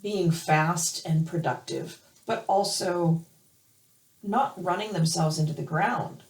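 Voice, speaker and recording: A woman hoarse from allergies giving a weekly tarot reading, hesitant with many fillers and restarts. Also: fairly low pitch, slow, recorded from a distance. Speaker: tarot reader. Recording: roomy, from off the mic.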